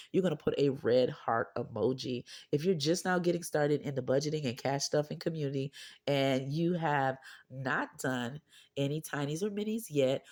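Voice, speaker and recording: A woman with a cold and a hoarse, deep, husky voice, chatting with viewers as the host of a budgeting channel. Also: dark tone. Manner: pushes her voice out to sound clearer; chatty, often asks viewers okay or right. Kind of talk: casual chat to camera.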